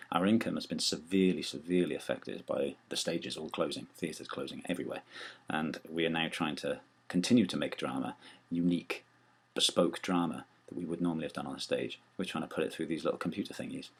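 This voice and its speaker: A man's voice: rumbling low, fast talker, gravelly, breathy edge. A man giving a chatty, self-deprecating appeal on behalf of a small theatre company. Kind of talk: informal spoken appeal.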